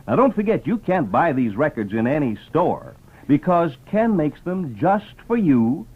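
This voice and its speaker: Animated old-time radio announcer, a man. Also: a little high. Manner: emphatic, enthusiastic salesman delivery. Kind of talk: 1950s radio announcing for children. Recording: muffled old radio transcription.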